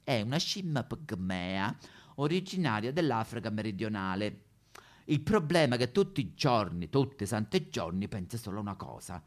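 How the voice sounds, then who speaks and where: Lonely, melodramatic Roman woman played by a man, stage comedy monologue, histrionic delivery with extremely animated, swooping pitch. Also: normal speed. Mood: dramatic storytelling. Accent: Roman Italian. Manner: histrionic, repetitive, swinging between whispers and wailing. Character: lonely, melodramatic woman recounting absurd pet disasters. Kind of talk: theatrical comic monologue.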